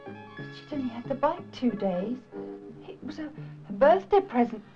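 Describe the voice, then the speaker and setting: A distraught mother speaks in a high voice that rises and falls widely. It is old film drama. Music sits behind the dull recording. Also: big pitch swings, moderate pace. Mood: shocked, grieving. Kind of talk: public information film drama.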